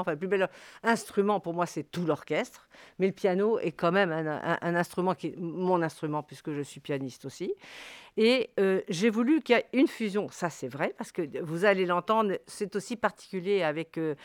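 Woman composer-pianist from Morocco, lowish voice, emphatic interview talk, dry sound. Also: dry recording.

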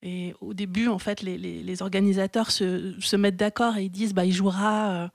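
A woman's voice: mid-range voice, level tone, fairly quick, creaky, no echo. Chatty woman radio host, dry studio. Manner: chatty, laughs easily, many fillers. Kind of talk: casual music radio chat.

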